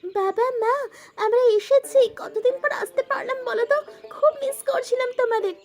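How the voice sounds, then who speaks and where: A woman's voice: very high-pitched, moderate pace, faint music behind. An actress playing an affectionate young married daughter visiting her parents in a cartoon. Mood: happy. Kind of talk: cartoon dialogue.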